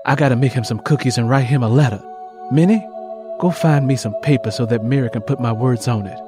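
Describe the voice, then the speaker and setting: A man's voice: medium pitch, fairly quick, over music, close to an everyday voice. A man voicing a distraught, fiercely protective mother in an audiobook drama, emotional and insistent. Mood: determined.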